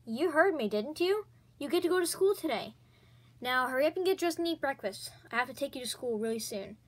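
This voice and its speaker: A woman's voice: high voice, a little fast. A woman voicing a patient mother who checks her daughter's temperature and grows suspicious of her faked illness, in a comedy skit. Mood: brisk. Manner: calm, matter-of-fact, suspicious. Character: patient, doubtful mother of two girls.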